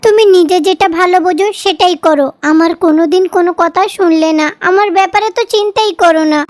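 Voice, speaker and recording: Woman voicing a very shrill, nagging village wife. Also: fast. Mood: hurt scolding. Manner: scolding, pleading. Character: nagging, worried village wife, scolding her husband. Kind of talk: cartoon story dubbing.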